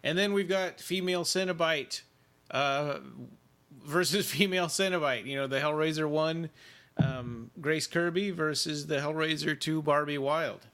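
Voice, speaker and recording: A man's voice: on the high side, a little slow, a bit bright. A man co-hosting a podcast in casual chat, enthusiastic but hesitant with fillers. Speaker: podcast co-host.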